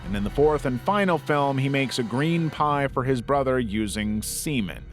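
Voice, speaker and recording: A man narrating a scripted documentary-style piece in a measured, serious way. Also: medium pitch, lively intonation. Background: background music.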